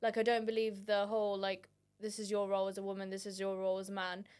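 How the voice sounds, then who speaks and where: A woman's voice: medium pitch, completely flat delivery, fast talker, a little mellow, clear, resonant tone. A woman speaking as a guest on a casual podcast chat, candid and self-deprecating. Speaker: guest, family member.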